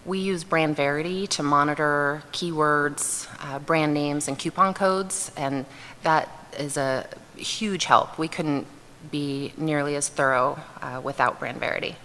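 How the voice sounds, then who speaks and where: A woman speaking on a conference panel as an affiliate manager, giving practical tips in a relaxed way with frequent pauses. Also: low voice, slight background noise. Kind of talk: conference presentation. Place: large conference hall. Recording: reverberant conference hall with faint ambience.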